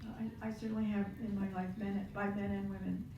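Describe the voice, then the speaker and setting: Gravelly woman in her seventies. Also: mid-range voice, level tone, fast talker, dull audio, noisy background. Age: 70s. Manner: reflective, with restarts. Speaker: panelist. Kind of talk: panel discussion. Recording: roomy hall audio from far off.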